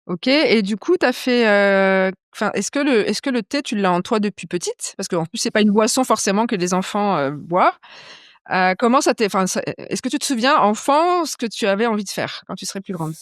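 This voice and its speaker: A woman hosting a podcast, asking questions in a relaxed, chatty way with frequent fillers. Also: medium pitch, moderate pace, dry recording, studio quality. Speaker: podcast host. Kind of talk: casual interview chat.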